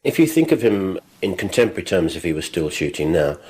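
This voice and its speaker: A man's voice: deep voice, big pitch swings, quick pace, a little mellow, breathy edge, rough recording. A man giving his opinions as a film commentator in a documentary interview.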